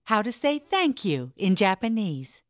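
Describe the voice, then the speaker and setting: An actress playing a kindly, encouraging kindergarten teacher in a children's cartoon. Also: female voice, mid-range voice, big pitch swings, measured pace, no echo, clean studio sound.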